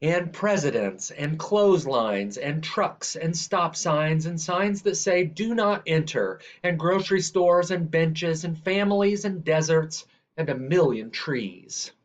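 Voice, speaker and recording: A man reading a children's picture book aloud in a measured, deliberate way. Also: on the high side, lively intonation. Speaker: reader. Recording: dull, lo-fi sound.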